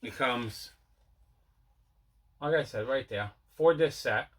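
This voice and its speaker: Bright, forceful-voiced man hosting a show. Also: medium pitch, slow pace, slightly rough. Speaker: host of a collecting video show. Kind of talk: casual unboxing chat.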